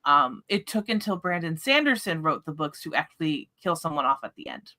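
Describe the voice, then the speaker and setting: A woman hosting a casual book discussion livestream, chatting in an animated way. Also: deep voice, brisk.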